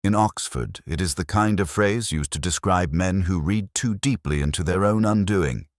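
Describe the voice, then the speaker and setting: A man giving a measured, reflective first-person narration of a detective story in a literary style. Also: deep voice, no echo, studio quality.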